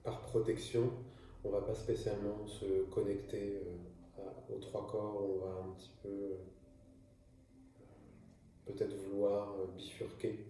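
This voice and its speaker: A man's voice: on the low side, level tone, a little slow, pauses often, mellow, far from the mic, slight background noise. A man from France speaking alone to camera in an improvised, hesitant monologue, with frequent fillers and restarts. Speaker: video creator. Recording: echoey room, voice from afar.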